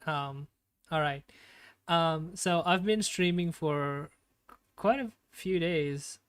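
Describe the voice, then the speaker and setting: A man's voice: fairly high pitch, takes it slowly, a bit bright, clear, resonant tone, dry recording. A man streaming and chatting casually, nervous and stammering, with many fillers, on a home desktop mic. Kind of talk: casual live-stream chat. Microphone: desktop streaming mic with noise gate.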